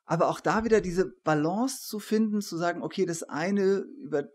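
Higher-voiced man, discursive interviewer. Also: moderate pace. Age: 40s. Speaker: interviewer, academic host. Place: small attic room, indoors. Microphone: studio microphone on desk.

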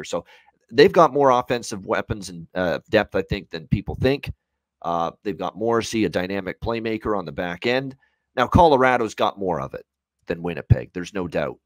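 Low-pitched man giving emphatic betting commentary. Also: no echo. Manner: opinionated, emphatic, repeats phrases for emphasis. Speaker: sports betting analyst. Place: indoor room at home, on a video call.

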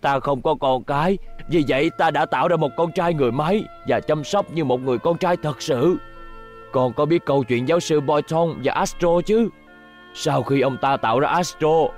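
A man's voice: fairly high pitch, lightly acted. Man voicing an earnest, remorseful cartoon father. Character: remorseful older father, tender toward his robot son. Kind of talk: dubbed cartoon acting.

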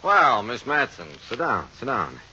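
An actor with an American accent playing a smooth, patronizing insurance executive in a 1950 radio drama. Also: male voice, mid-range voice, huge pitch swings, unhurried, bright, forceful tone, faint music behind, heavily acted. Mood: affable, patronizing. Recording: muffled, faintly noisy vintage radio recording.

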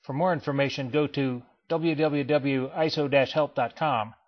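A man giving a scripted voice-over for a training slideshow, in a measured, explanatory way. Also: medium pitch. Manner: measured, even explanatory delivery. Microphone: voice-over, not recorded in pictured place. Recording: dull lo-fi voice-over.

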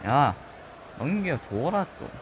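A man with a regional Korean accent chatting casually over a football video game, his voice a little husky from just waking up, breaking into loud excited shouts. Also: a little high, slow, background noise. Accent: regional Korean dialect. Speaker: gaming channel host. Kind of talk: live gameplay commentary. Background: game music.

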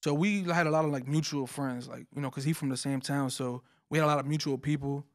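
A man's voice: fairly high pitch, steady tone, fast. A young man from New Jersey, a rapper guest, talking casually and laid-back into a studio mic on a couch. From Paterson, New Jersey. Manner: laid-back, slangy, repeats phrases. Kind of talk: casual interview chat. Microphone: studio mic on boom arm.

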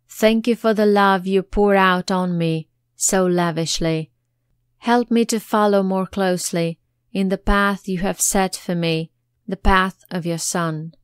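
A woman reciting prayers in a slow, reverent, measured way. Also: mid-range voice, smooth, no room sound, studio quality. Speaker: prayer leader.

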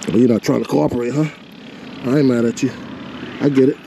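A man's voice: medium pitch, fairly even intonation, brisk, vocal fry, warm tone, slight background noise. Man muttering to himself while fishing. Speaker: fishing vlogger. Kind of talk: casual running commentary. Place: outdoors on a rocky seashore. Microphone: body-mounted action camera.

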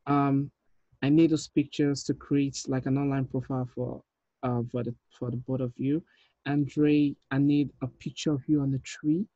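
A man in his thirties with a medium-pitched voice gives instructions in an informal online meeting. He talks haltingly, and the call audio sounds dull and muffled. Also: little pitch movement, dark tone, no room sound. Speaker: short film director leading a table read. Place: indoor room, on a video call.

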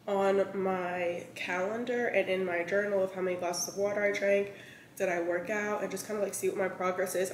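A woman in her thirties chatting casually to camera as a lifestyle vlogger, rambling with lots of fillers, her throat a bit scratchy. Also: mid-range voice. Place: large bright home kitchen. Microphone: camera on a counter, mid-shot. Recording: echoey kitchen with faint room noise.

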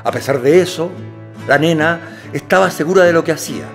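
A man's voice: a little high, fairly quick, faint music behind, lightly acted. A man narrating a scripted radio drama as a composed, literary storyteller.

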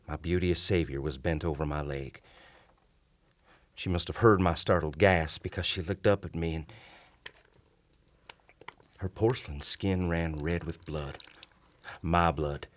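A wounded old-timey gentleman soldier, really low voice, grave n formal, radio drama narration. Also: slow, pauses often. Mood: horrified. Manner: formal, grave, old-fashioned courteous speech. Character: wounded gentlemanly soldier recounting his haunted, doomed past. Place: small indoor radio studio. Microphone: shared vintage-style studio mic on stand.

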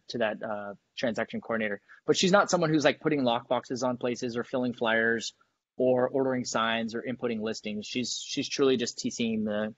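A man in his thirties from San Diego, a team leader who explains his business in a brisk, matter-of-fact way over a video call from his dining room. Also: mid-range voice, no echo, highs cut off. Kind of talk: casual video-call interview. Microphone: earbuds on video call.

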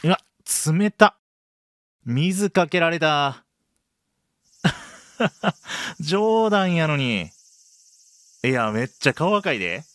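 A man's voice: fairly high pitch, animated, extremely slow, long pauses, fairly bright tone, no echo, studio quality, exaggerated voice. A man playing a teasing, laid-back young delinquent with a Kansai accent in an intimate Japanese roleplay. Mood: amused, apologetic.

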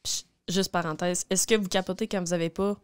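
A woman's voice: on the low side, fairly even intonation, brisk, smooth. A young woman with a Quebec French accent, chatting and posing questions to a podcast panel in a small studio. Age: young adult. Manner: chatty, asks the group questions. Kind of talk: casual group discussion. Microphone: studio mic with headphones.

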